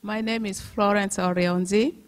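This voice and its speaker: A woman from Uganda with a Ugandan accent, a parent introducing herself calmly on a conference panel. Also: mid-range voice, measured pace.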